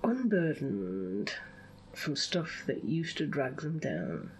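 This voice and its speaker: A woman hypnotherapist guiding a visualization in a soothing, drawn-out way, often slipping into a breathy whisper. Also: very deep voice, big pitch swings, slight background noise. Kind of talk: hypnosis session, guided visualization.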